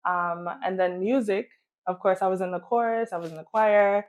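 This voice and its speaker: A woman's voice: medium pitch, fairly even intonation, normal speed, a little mellow, lo-fi sound. A woman from Miami and Jamaica, chatting casually and informally as a guest in an interview. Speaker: guest, singer.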